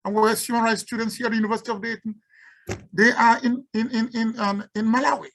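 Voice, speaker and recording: A woman's voice: on the high side, steady tone, quick pace, fairly bright tone. A woman from Cameroon with a Cameroonian accent, giving an unscripted symposium talk and taking questions, often stumbling and repeating words. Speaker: symposium speaker and researcher.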